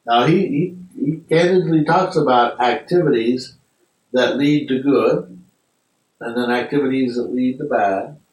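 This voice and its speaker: A man's voice: medium pitch, unhurried, darkish tone. A man leading a philosophy class discussion, thinking aloud in a reflective way with some restarts. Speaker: discussion group leader. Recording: echoey room, mic far off.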